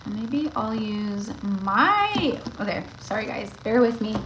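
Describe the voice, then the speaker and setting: Chatty woman going a bit raspy. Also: fairly high pitch, big pitch swings, slow, fairly bright tone, a little hiss or hum, low-quality recording. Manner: chatty and rambling, talks straight to viewers. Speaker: tarot reader hosting a livestream. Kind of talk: casual livestream chat.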